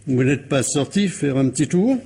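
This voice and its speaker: An actor playing a shrewd, insistent police commissioner who questions a suspect with courteous, insinuating pressure, in a French radio crime drama. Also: male voice, medium pitch, lively intonation, moderate pace, warm tone, breathy edge. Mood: probing, courteous.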